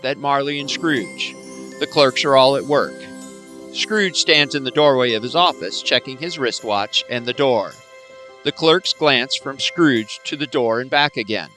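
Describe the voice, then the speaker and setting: A man narrating a scripted radio play in a deliberate storytelling manner. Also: mid-range voice, pushed and bright.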